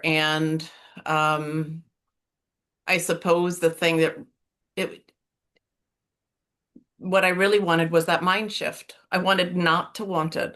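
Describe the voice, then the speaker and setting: Woman, middle-aged, American-sounding accent, lowish voice, halting, lots of fillers, video call. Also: a bit bright. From Northern Ireland. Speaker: coaching client. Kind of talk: candid interview.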